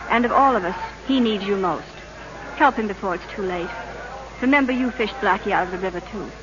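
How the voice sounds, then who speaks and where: An actress playing a politician's devoted, anxious young wife in old radio drama, earnest, affectionate and pleading. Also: female voice, mid-range voice, brisk, close to an everyday voice. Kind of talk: 1930s radio acting. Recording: muffled old radio recording with hiss.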